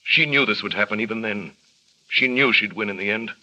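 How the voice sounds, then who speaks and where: An actor playing a tormented husband in an old radio drama, speaking gravely and low. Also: male voice, brisk, pushed and bright, muffled recording. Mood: despairing. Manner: grave, low-spoken confession. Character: tormented guilty husband, grown man, brooding. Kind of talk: 1940s radio acting.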